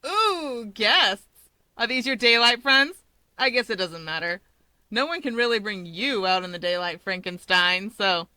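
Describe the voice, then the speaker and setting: A woman voicing a brash, teasing young rabbit-woman hero, loud, cocky and chatty in an audiobook-style story. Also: mid-range voice, expressive, measured pace, dry recording.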